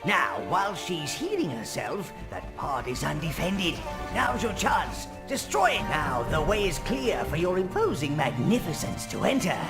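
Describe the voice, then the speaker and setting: An actor playing a wizened, fawning, gleefully evil old minion advisor in a video game, creaky and theatrical. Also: male voice, on the high side, very animated, measured pace, hardly any pauses, exaggerated voice. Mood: conspiring, eager. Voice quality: creaky, gravelly. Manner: obsequious, theatrical servant addressing his master. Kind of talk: video game cutscene acting. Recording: game music under the voice.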